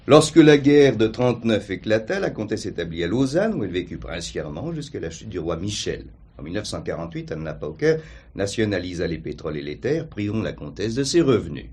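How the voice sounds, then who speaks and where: An actor playing a formal, precise notary with a husky voice, recounting facts in a measured way in a crime drama. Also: male voice, fairly low pitch, big pitch swings, a little mellow. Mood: narrating. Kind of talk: French crime drama acting.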